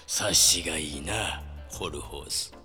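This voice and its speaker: A man's voice: rumbling low, a little slow, sharp tone, faint music behind, heavily acted. A man playing a cruel, cold assassin in a Japanese audio drama, speaking with gloating menace.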